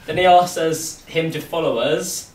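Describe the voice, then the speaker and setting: A teenage busker telling a story on stage in a casual, self-deprecating way. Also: male voice, on the high side, unhurried, a little hiss or hum. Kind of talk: nervous live storytelling. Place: small room at a live storytelling night. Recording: echoey room, far camera.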